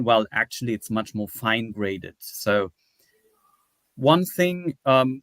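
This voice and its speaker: A man lecturing in an academic webinar, explaining slides in a halting, step-by-step way. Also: medium pitch, no room sound. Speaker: academic presenter.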